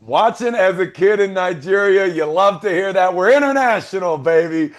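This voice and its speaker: A male host with a fairly high voice leads a sports interview, building hype for his guest. He talks with loud, energetic enthusiasm, leaning into big introductions. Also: moderate pace. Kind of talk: hyped interview hosting.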